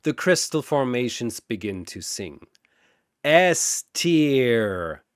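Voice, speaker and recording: Slow, dramatic male narrator. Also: medium pitch, very animated, smooth, dry recording, clean studio sound.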